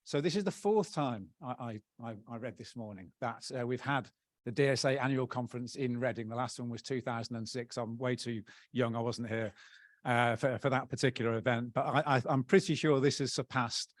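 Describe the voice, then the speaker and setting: A man's voice: mid-range voice, no room sound. Guy at a conference mic thanking everyone, chatty and joking, lots of uhs, dry close sound. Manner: upbeat, joking, halting with many fillers. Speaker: outgoing association president. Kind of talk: closing remarks and thanks at a conference. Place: conference hall plenary.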